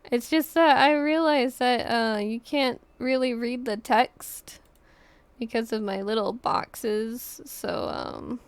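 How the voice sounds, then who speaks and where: Female game streamer, highish and unhurried, halting casual chat with vocal fry. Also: no room sound. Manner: halting, rambling self-talk. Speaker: livestreamer.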